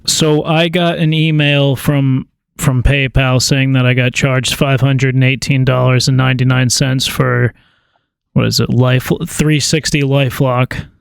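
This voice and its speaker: A man chats casually on a phone call in a smooth, clear voice with little range in pitch. He stammers and hesitates, informal throughout, and the sound is dry. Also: flat delivery, measured pace, dry recording. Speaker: prank caller.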